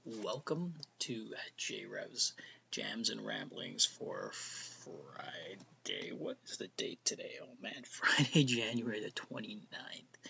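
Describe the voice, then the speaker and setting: A man's voice: lowish voice, vocal fry, bright, forceful tone, dull audio. A middle-aged Canadian man with a Canadian accent, rambling casually as host of his own vlog, often sliding into a near whisper. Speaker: vlogger host.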